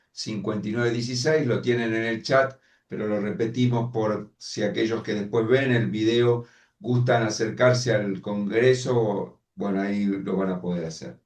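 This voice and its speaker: A man co-host reads announcements and bids farewell in a formal, measured way. He sits away from the mic, and the room adds a clear echo to his voice. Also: on the low side. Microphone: video call. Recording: echoey room, distant mic.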